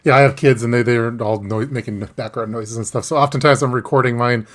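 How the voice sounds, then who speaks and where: Halting, chatty male interview host. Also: medium pitch, fairly even intonation. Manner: halting, many restarts and small laughs. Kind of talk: casual live interview chat.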